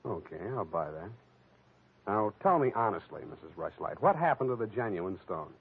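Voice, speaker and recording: An actor playing a cynical, wisecracking private detective with a clipped, deadpan delivery in 1940s radio style. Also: male voice, low-pitched, moderate pace, creaky, breathy edge, full character voice. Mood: cool, sardonic. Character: hard-boiled wisecracking male private detective, cool and cynical. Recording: muffled tinny old radio broadcast.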